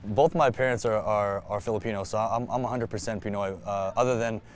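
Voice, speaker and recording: A man's voice: lowish voice, fairly quick, a little hiss or hum. A man, giving a reflective sit-down interview as a basketball player and often repeating words as he restarts. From United States. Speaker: national team player. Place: indoor arena seats.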